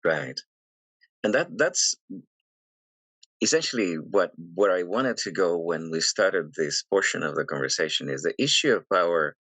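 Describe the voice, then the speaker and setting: A man's voice: sits low, lively intonation, normal speed, fairly bright tone, dry recording, rough recording. A man from Venezuela hosting an academic podcast interview, talking in a thoughtful way with many stammering restarts. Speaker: podcast host.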